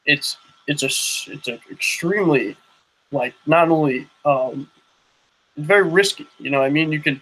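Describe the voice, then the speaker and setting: A man's voice: fairly high pitch, normal speed, a bit bright, a little hiss or hum, low-quality recording. A young man hosting a podcast interview, talking loosely with many restarts and "you know what I mean". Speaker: podcast host. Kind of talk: casual interview chat.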